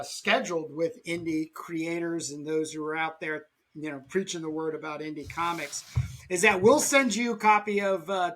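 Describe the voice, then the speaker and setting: A man hosting a podcast about comics in casual talk, pausing mid-sentence as he goes. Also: high voice, a bit bright. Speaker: podcast host and comic artist.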